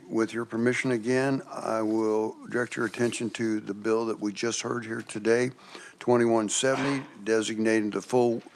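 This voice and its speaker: A man chairs a committee meeting, moving through motions and votes with courtesy. His voice sits a little low. He speaks in a plain, procedural way. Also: a little hiss or hum. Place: legislative committee room.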